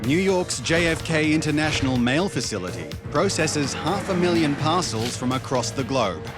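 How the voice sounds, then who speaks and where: Man, documentary narrator, scripted, measured, lively pitch, raised voice, music behind. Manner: measured, explanatory. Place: voice-over studio. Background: background music.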